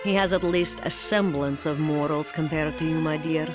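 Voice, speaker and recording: A woman's voice: low voice, moderate pace, natural voice. An actress playing a sardonic Soviet woman reading her letter aloud in a video game, dry and teasing. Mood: dry, affectionate. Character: sardonic Soviet woman writing to her beloved sparring partner. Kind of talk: letter read as game voice-over. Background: music.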